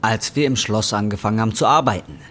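A man's voice: on the low side, fast talker, a bit bright. An actor playing a bitter, defiant, broke injured roofer in his twenties, in a German radio drama. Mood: matter-of-fact. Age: 20s. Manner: embittered, colloquial, confrontational. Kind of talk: German radio drama acting and brooding first-person narration.

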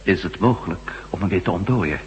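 A man's voice: low-pitched, a little fast, natural voice. A man acting in a Dutch radio drama as an adventurous reporter, earnest and intense about a discovery. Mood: urgent. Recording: echoey, noisy radio play recording.

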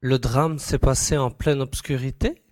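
A man's voice: mid-range voice, fairly even intonation, a little slow, no echo, studio quality, lightly acted. A man playing an inquisitive, commanding young investigator in an audiobook. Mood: brisk, commanding. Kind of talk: audiobook character dialogue.

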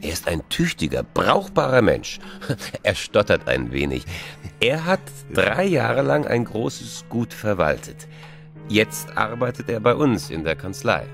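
Ingratiating clerk, creaky low voice, swooping delivery, radio drama, music behind. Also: male voice, very animated, a little slow, full character voice. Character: smooth-talking, insinuating clerk recommending a colleague. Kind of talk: radio play acting. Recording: studio radio play with guitar music behind.

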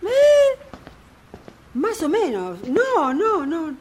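A woman's voice: very high-pitched, huge pitch swings, extremely slow, darkish tone, full character voice. An actress playing an evasive, self-assured fortune teller in a radio drama, placating her client with theatrical reactions in an Argentine accent.

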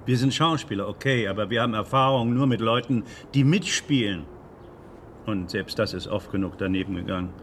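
A man's voice: lowish voice, brisk, slight background noise, natural voice. Dignified, skeptical old man, voiced by an actor. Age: older. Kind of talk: radio drama acting.

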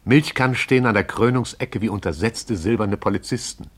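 A man narrating a literary radio play in a measured, descriptive storytelling way. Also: lowish voice, natural voice.

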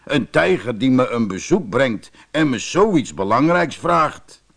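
A man's voice: mid-range voice, unhurried. Man als beleefde, slimme rijstboer, levendige stem. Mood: flattering. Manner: deferential, polite, calmly cunning. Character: shrewd rice farmer, humbly courteous to a tiger. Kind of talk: storybook character voice.

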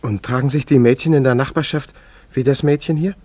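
An actor playing a lost young painter in a German radio play, polite, earnest and hesitant, with a bewildered, wistful air. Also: male voice, mid-range voice, steady tone, fairly quick, darkish tone, no room sound, close to an everyday voice. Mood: shaken.

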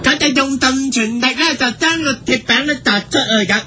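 A woman's voice: high-pitched, normal speed, noisy background, natural voice. A woman playing a hesitant, bumbling comic sports reporter with a Hong Kong accent, stammering and reluctant. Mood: dutiful reporting.

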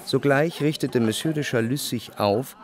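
An actor playing a reflective, refined writer narrating his memories in a measured, literary radio drama manner. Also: medium pitch, a little hiss or hum, lightly acted. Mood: observant. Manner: measured, contemplative. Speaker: first-person narrator. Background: music behind the voice.

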